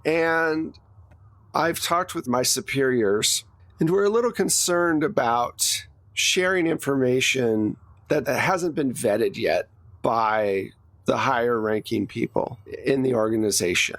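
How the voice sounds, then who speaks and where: A man's voice: mid-range voice, slow pace, fairly bright tone, no room sound. A guarded female boss in a tabletop spy game, played by a man in his own register. The delivery is formal and bureaucratic, choosing words with care. The sound is close and dry.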